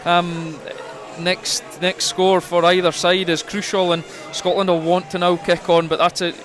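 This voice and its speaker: A man's voice: sits high, level tone, unhurried, fairly bright tone. A man giving conversational live rugby analysis as co-commentator, piecing his thoughts together as he goes. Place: rugby stadium. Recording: crowd noise behind live outdoor commentary. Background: stadium crowd.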